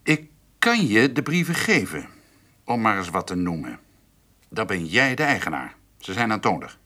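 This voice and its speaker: Husky, shifty village notary. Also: male voice, on the low side, very animated, moderate pace, pushed and bright, full character voice. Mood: discreet, probing. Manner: hesitant, circumspect, insinuating. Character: shifty, evasive village notary, a man guarding his reputation. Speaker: actor in a radio play. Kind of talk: radio drama dialogue.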